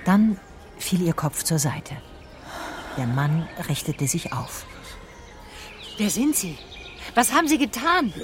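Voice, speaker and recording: An actress playing a curious, persistent private detective in a German radio crime drama. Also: female voice, on the low side, very animated, takes it slowly, long pauses, a little hiss or hum. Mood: lively, then urgent. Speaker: narrator and lead actress. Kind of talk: German radio crime drama, first-person narration and dialogue.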